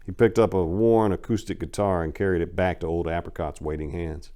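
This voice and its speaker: A man's voice: low voice, fairly even intonation, a little fast, dark tone. A man narrating a crime story as a dramatic audiobook reading.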